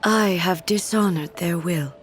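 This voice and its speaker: Woman as slow, solemn warrior ruler. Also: fairly low pitch, faint music behind, natural voice. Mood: solemn, regretful. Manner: formal, measured, solemn. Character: ageless female ruler and warrior, solemn, dignified, resolute. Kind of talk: English video game voice acting.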